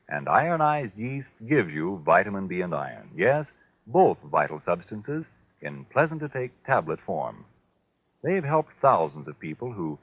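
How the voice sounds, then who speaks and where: A man announcing in an emphatic, deliberate, scripted 1940s radio style. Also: mid-range voice, slightly rough, no room sound. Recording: tinny, lo-fi 1940s radio recording.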